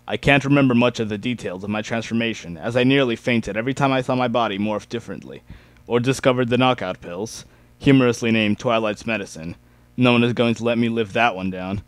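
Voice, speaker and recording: A man's voice: mid-range voice, no echo. A young man giving an amateur dramatic reading of a first-person story.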